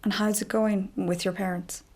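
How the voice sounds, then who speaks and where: Woman as a calm, probing therapist. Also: medium pitch, normal speed, natural voice. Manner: calm, questioning. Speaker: actor in a radio drama. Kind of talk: naturalistic radio drama acting.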